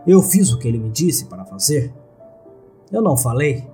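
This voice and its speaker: A Brazilian man narrates a horror story in the first person. His voice is mid-pitched with a dark, soft-edged timbre. Light music plays underneath. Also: big pitch swings, normal speed.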